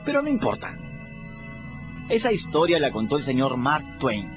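A man's voice: mid-range voice, wildly swooping pitch, moderate pace. A plucky teenage river boy in a radio play, folksy and chatty as he talks straight to the listeners. His voice swings up and down a lot. The recording sounds dull, with music playing underneath. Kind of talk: first-person radio play narration.

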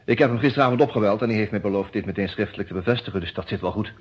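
Composed, husky defence lawyer. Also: male voice, on the low side, very fast. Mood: businesslike, reassuring. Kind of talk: Dutch radio detective drama acting. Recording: muffled old radio play recording.

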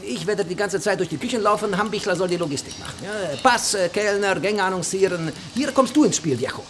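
An excitable chef. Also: male voice, high voice, fast. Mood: hectic, animated. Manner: hectic, peppers talk with Spanish exclamations. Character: excitable, bossy South American male chef. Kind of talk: German radio crime drama acting. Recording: noisy busy kitchen with sizzling pans.

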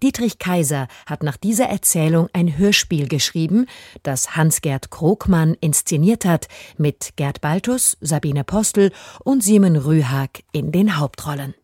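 Mellow, smooth female radio announcer. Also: deep voice, expressive, unhurried, no echo, studio quality. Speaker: radio announcer introducing a play. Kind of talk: scripted broadcast announcement.

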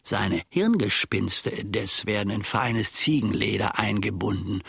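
An actor playing a pedantic, simple-minded manservant in a radio play, speaking in a ponderous, mock-learned, rambling way. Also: male voice, fairly low pitch, animated, measured pace, gravelly, fairly bright tone, breathy edge, dry recording, full character voice. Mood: wry, self-important. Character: pedantic, simple-minded male servant of a philosopher. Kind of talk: German radio play acting.

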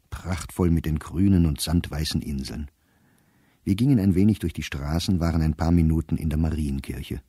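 A middle-aged male diarist with a very low, dark voice. He reads his entries for a radio play in measured, clipped phrases, precise and dryly wry, with a husky edge. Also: dry recording, studio quality, full character voice. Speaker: actor reading a diary. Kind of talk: literary diary narration in a radio play.

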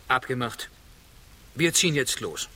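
An actor playing a businesslike, smugly satisfied scavenger in an absurdist radio play, with decisive, clipped speech. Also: male voice, medium pitch, sing-song, a little slow, bright, forceful tone, slight background noise.

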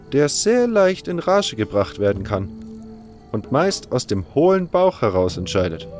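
A man's voice: on the high side, a little mellow, voice pushed up. A man voicing a blunt, knowing prison-camp guide who explains things frankly in a fantasy audio drama.

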